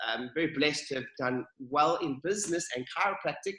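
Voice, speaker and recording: Upbeat South African man, bright voice. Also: a little high, fairly quick, echoey room. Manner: enthusiastic, upbeat, grateful. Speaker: podcast guest. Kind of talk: casual interview.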